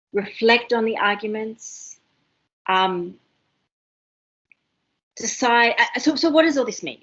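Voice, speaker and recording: A woman with an Australian accent leading an online university seminar, talking fast and excitedly with many ums and restarts. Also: fairly high pitch, dull audio. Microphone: video call.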